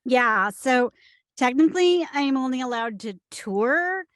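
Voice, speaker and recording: Actress as a brazen foreign tourist flatmate, higher pitch, dry close sound, blithely blunt comic read. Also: female voice, measured pace, no room sound. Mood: blithely frank. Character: oblivious, brazen British tourist roommate. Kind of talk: screenplay table read.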